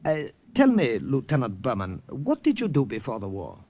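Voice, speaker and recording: An actor playing a courteous, formal Siamese underground major in an old radio drama, speaking polite and precise English. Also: male voice, mid-range voice, wildly swooping pitch, normal speed, dull audio. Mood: gracious, composed. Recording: noisy old radio recording with clicks.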